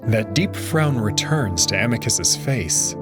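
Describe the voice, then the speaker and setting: A man narrating a visual novel in first person with an expressive, conversational delivery. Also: on the low side, slow, over music.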